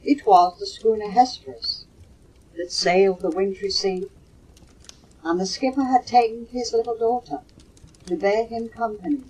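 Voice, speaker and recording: An older woman with a husky voice, reciting poetry slowly and expressively on a low-fidelity home cassette recording. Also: darkish tone, rough recording. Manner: slow, measured, dramatic storytelling delivery. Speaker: mother reading poems for her children.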